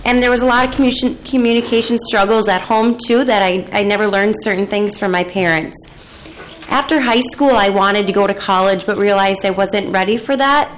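A woman giving prepared testimony to a legislative committee, telling a first-person account in a steady, measured way. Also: mid-range voice, a little hiss or hum, low-quality recording. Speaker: committee witness. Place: legislative hearing room.

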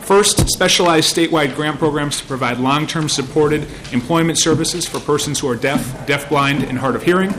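A man's voice: fairly high pitch, moderate pace, hardly any pauses, fairly bright tone, slight background noise, poor audio. A man, a state senator, formally presenting a bill to a committee with frequent "uh" fillers. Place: legislative hearing room.

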